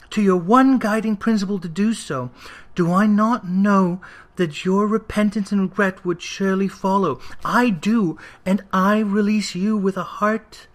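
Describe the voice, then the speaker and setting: A narrator voicing a gentle, dignified young woman who speaks with earnest sorrow and resolve in an old storybook drama. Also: medium pitch, voice pushed up, close to an everyday voice. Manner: earnest, soft-spoken, dignified.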